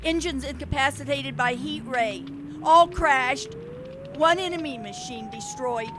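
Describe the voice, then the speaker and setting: A military radio operator in a radio play. She is brisk and urgent, with a high voice and clipped phrasing. The transmission is clearly noisy. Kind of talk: radio drama acting.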